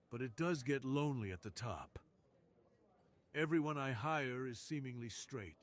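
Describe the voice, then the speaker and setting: A man's voice: medium pitch, fairly bright tone, voice pushed up. A man voicing a suave, dominant crime boss in a seductive, self-assured ASMR roleplay.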